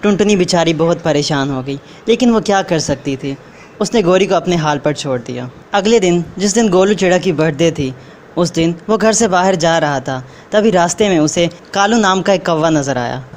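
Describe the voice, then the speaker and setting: A woman's voice: fairly low pitch, expressive, moderate pace, a little mellow, a little hiss or hum. A woman narrating a scripted children's story.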